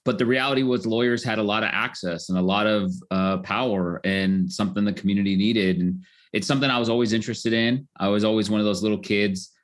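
Lower-voiced American man being interviewed. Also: fairly even intonation, quick pace, few pauses. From Southern California, United States. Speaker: interview guest, lawyer and tech CEO. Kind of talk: casual interview storytelling.

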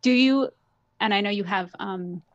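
A woman's voice: mid-range voice, normal speed, dry recording, lo-fi sound. A woman hosting a podcast, her medium voice close and dry. She talks casually and chats freely, often laughing and affirming her guest. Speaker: podcast host. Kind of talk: casual interview chat.

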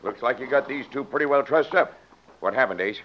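An actor playing an authoritative sheriff in an old radio western, with an American accent and a blunt, folksy manner. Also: male voice, medium pitch, fairly quick, natural voice. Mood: stern, challenging. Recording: muffled, slightly noisy vintage radio.

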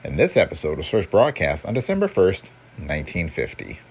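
A man's voice: deep voice, wildly swooping pitch, brisk, slightly rough. A man hosting a podcast, reading a scripted introduction. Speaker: podcast host.

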